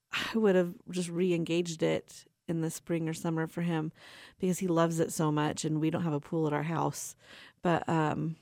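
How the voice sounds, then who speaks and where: A woman's voice: lowish voice, creaky, darkish tone, no echo, clean studio sound. A woman of forty with a young-sounding voice, hosting a radio show in a rambling, personal way, tired and laughing often. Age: 40s. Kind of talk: casual chatty radio talk.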